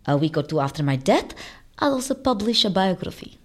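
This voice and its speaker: A woman's voice: fairly low pitch, very animated, fairly quick. A woman playing a vain, theatrical Russian escapologist in an audio drama, grandiose and full of herself. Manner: grandiose, self-admiring.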